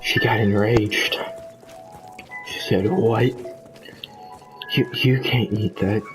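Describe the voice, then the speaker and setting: A man improvising a comic story for his friends in a halting, deadpan manner. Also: lowish voice, low-quality recording. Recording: loud music behind. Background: loud music.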